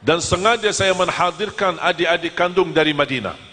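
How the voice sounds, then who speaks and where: A man's voice: sits high, moderate pace, sharp tone. A man raised in Medina who speaks Indonesian. He preaches to a live audience in a humorous, engaging way and asks them questions. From Medina, Saudi Arabia. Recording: long hall reverb.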